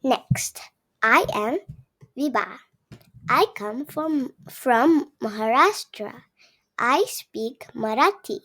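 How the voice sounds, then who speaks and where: A woman's voice: fairly high pitch, expressive, takes it slowly, fairly bright tone. A seven-year-old girl from Gujarat with an Indian accent, reading a story aloud and explaining it simply to viewers like a young teacher. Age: child, seven years old. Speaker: young channel host.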